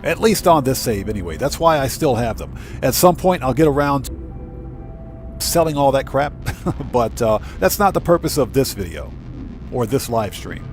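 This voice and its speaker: A man's voice: medium pitch, sing-song, normal speed, faint music behind. A man giving casual, off-the-cuff commentary as he live streams a video game.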